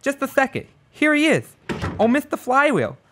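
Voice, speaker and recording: A woman doing a lively flustered secretary. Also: sits high, normal speed, noisy background. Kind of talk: radio play acting.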